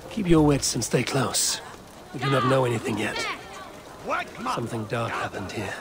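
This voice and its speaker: A man's voice: medium pitch, normal speed, heavy background noise, natural voice. Man playing a terse Viking warrior. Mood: commanding, watchful. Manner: terse, commanding. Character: steady, watchful Viking warrior man investigating trouble. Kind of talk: video game acting.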